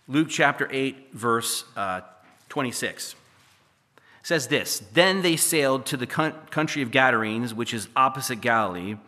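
Pastor, male, hoarse from losing his voice, bright, forceful tone, conversational preaching with jokey asides. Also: medium pitch, lively intonation, unhurried. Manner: conversational, with jokey asides and frequent "okay?" and "right?". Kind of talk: preaching a sermon with Bible readings. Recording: echoey hall reverb.

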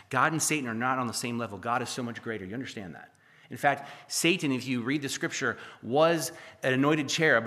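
A hoarse man preaches to his congregation, reading scripture aloud and breaking off for casual, joking asides. His voice is bright and forceful despite the strain. The sound carries a long reverb from a large hall. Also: mid-range voice. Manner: conversational, with jokey asides and frequent "okay?" and "right?". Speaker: pastor. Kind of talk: preaching a sermon with Bible readings.

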